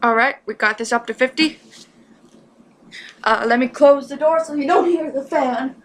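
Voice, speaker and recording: Rambling woman with a highish voice. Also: moderate pace. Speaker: reaction video host. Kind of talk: casual chat to camera.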